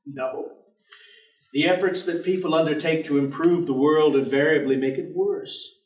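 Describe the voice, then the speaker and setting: A man's voice: on the high side, normal speed, natural voice. An actor playing a bleak, world-weary male professor in a staged dramatic reading, formal, articulate and fatalistic. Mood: bleak, resigned. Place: theatre stage. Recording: echoey theatre, distant microphone.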